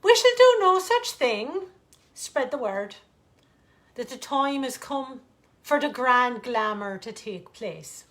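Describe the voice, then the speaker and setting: A grand storybook fairy queen, played by a woman reading aloud. She speaks in a fairly high voice with regal emphasis and quaint, old-fashioned turns of phrase. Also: big pitch swings, slow pace, exaggerated voice. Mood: commanding. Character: grand, decisive fairy queen, whimsically archaic.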